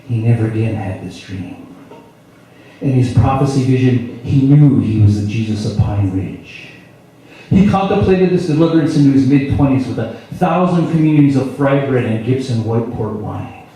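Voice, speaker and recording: A man from South Dakota tells a spoken-word story with a dark, mellow voice and a wry, dramatic touch, moving between loud lines and hushed ones. He is heard from some distance in a very echoey room. Faint noise sits behind him. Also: medium pitch. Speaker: storyteller performer. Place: live performance venue.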